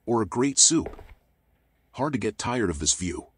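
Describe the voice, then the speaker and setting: A man voicing a world-weary raccoon private eye in a noir game, wry, sardonic and deadpan. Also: mid-range voice, unhurried, husky. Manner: dry, wry, deadpan muttering. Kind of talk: noir game voice acting, internal monologue and dialogue.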